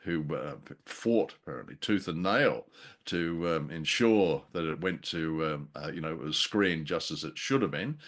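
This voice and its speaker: A middle-aged man with a very deep voice hosts a casual film chat. He talks haltingly, with a jocular streak, as he works through his points. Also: lively intonation, a bit bright. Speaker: podcast host.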